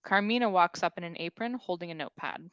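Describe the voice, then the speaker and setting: A woman's voice: lowish voice, quick pace, fairly bright tone, clean tone, no room sound, muffled recording. A woman narrating scripted stage directions at a comedy table read.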